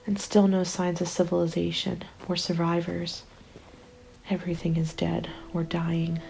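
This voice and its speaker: A woman acting as a despairing apocalypse survivor narrates her story in an intimate ASMR roleplay. Her voice is soft and mellow, with a gravelly fry at the edges, and it often drops into a whisper. The delivery stays subdued and narrow in melody. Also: on the low side. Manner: hushed, often slipping into whisper. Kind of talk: ASMR roleplay, scripted first-person narration. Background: soft music bed.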